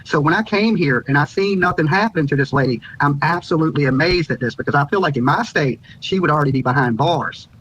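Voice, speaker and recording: A man's voice: on the high side, quick pace, hardly any pauses, a bit bright, husky. A man from Virginia giving an informal live interview account as a guest, repeating phrases as he goes. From Virginia, United States. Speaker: YouTuber and news guest. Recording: dull, lo-fi remote link.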